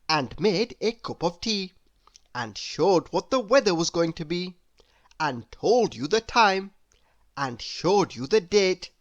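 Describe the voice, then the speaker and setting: A woman reading a children's story aloud as an expressive narrator who speaks directly to young listeners. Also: lowish voice. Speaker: storybook reader.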